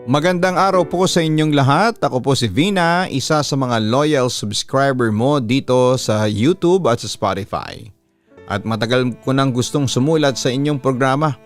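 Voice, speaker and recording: A man's voice: medium pitch, no room sound. A man with a Filipino accent reading a letter as scripted narration in the manner of a radio storyteller, close to the microphone. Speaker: radio announcer and story channel host. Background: background music.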